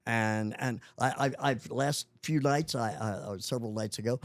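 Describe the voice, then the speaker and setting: A man's voice: mid-range voice, normal speed, no echo, studio quality. A man in his eighties, a guest in a casual interview, talking with many restarts and chuckling often. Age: 80s.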